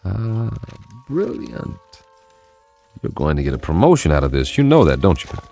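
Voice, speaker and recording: An idealistic, weary codebreaker in an audio drama. His low voice moves freely up and down with a gravelly edge. He is wry and folksy, with music underneath. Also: very animated, normal speed, dark tone, heavily acted. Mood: dryly amused. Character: skeptical-of-war, idealistic male government codebreaker, wry and weary. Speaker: lead actor and narrator. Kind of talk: audio drama acting with first-person narration.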